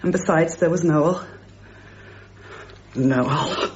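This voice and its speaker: An actress playing a rational, brittle grieving mother in a naturalistic radio drama, speaking in halting, repeated, self-correcting phrases. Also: deep voice, dull audio. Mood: numb, grieving.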